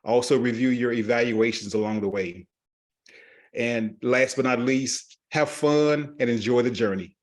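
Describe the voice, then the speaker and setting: Older man, halting speech, repeated words, headset mic, meeting advice. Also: mid-range voice. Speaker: meeting member giving advice.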